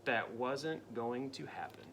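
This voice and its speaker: A man reading a picture book aloud to a child, dramatic and playful, dropping into spooky whispers and jumping to loud cries. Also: mid-range voice, takes it slowly, noisy background. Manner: playful asides to the listening child. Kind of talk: storybook read-aloud.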